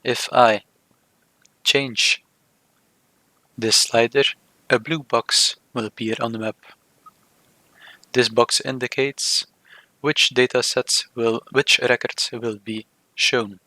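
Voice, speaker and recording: A man narrating a software tutorial step by step, speaking in short, measured, halting phrases. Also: medium pitch, fairly even intonation, no echo, rough recording. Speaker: software demonstrator. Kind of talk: scripted tutorial narration of a screen demo.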